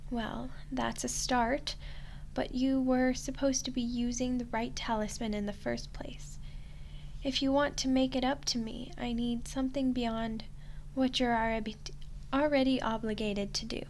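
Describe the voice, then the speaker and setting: A woman playing a smug, teasing archdemon in a roleplay ASMR style, soft and condescending, with an unhurried delivery. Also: a little high, steady tone, slight background noise. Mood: coolly accusing. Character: smug, teasing female archdemon toying with a human ruler.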